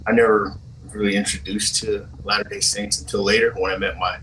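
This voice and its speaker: A man in his forties from Hawaii tells his story in a relaxed, halting way, full of restarts and fillers. His voice sits on the low side and sounds a little distant from the mic, with faint noise underneath. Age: 40s. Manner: halting, many restarts and fillers, laid-back slang. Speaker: guest telling his conversion story. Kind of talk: casual storytelling.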